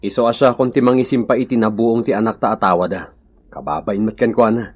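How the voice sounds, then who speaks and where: An actor playing an excitable, apologetic village father in a radio comedy-drama, speaking expressively with an Ilocano accent. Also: on the low side, fast, breathy edge. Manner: exclamatory, expressive.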